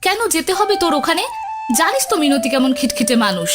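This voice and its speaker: A woman acts an exasperated village mother in a cartoon. Her chiding voice is high and lively, rising and falling widely, with faint music behind it. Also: fast. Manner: chiding, plain-spoken. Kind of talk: cartoon voice acting.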